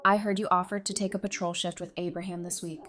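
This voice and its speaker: A woman's voice: on the low side, flat delivery, fast talker, a bit bright, natural voice. A woman as a playful, teasing young survivor. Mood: teasing, supportive.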